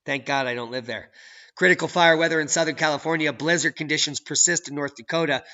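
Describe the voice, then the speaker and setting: Sarcastic guy ranting over weather news, gravelly and sharp, swears a lot, muffled lo-fi sound. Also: fairly high pitch. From United States. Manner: sarcastic and profane, swings between mutters and outbursts. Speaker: channel host.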